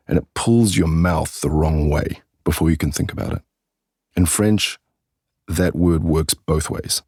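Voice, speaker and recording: Deep, husky English man teaching. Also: darkish tone, no room sound, clean studio sound. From England. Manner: clear, deliberate, slows down for key words and example sentences. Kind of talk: scripted podcast lesson.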